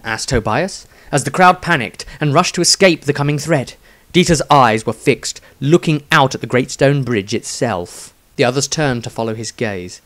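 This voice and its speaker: A male narrator tells a scripted fantasy story. His voice sits at an average pitch for a man. The delivery is solemn and theatrical, that of an audiobook storyteller. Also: expressive, normal speed, fairly bright tone. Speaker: author narrating own story.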